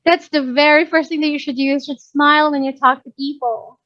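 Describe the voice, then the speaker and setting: High-voiced Filipino English woman trainer. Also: steady tone, fairly quick, a bit bright, dry recording, lo-fi sound. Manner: chatty, keeps checking understanding, mixes Tagalog and English, laughs often. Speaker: workplace communication trainer. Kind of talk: casual instructive training talk.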